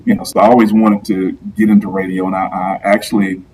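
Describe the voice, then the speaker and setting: A man with a deep, low baritone tells his story easily and enunciates with an announcer's care. He speaks over a remote video call, a little distant from his mic, with a faint hiss of room sound behind him. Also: flat delivery, quick pace, darkish tone. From Georgia, US. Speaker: guest, live streamer and football PA announcer. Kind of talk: casual interview chat.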